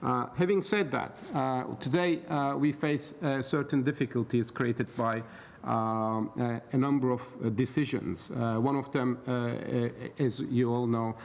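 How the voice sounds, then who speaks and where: Middle-aged male expert from Turkey, halting delivery, recorded in a reverberant hall with faint noise behind. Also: mid-range voice, darkish tone. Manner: hesitant, many filler pauses. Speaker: expert panel speaker. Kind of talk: conference talk. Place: conference hall.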